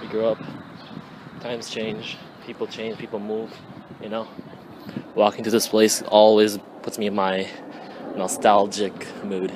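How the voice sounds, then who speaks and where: A man's voice: on the low side, monotone, unhurried, pauses often. A man in his twenties rambling casually and slangily to his phone at arm's length outdoors. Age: 20s. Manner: slangy, swearing. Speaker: vlogger. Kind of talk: casual rambling vlog chat. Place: outdoors on residential streets. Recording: noisy handheld phone recording.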